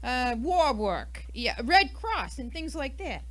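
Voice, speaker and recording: A woman's voice: high-pitched, sing-song, takes it slowly, exaggerated voice. A woman playing a brash, defensive, indignant American murder suspect in an old-time radio mystery, with a snappy, huffy delivery. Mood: defensive, evasive.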